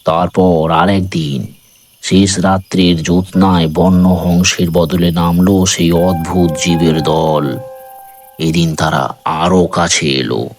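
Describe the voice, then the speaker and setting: A man reading a literary story in a measured, dramatic narrating style. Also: low voice, darkish tone.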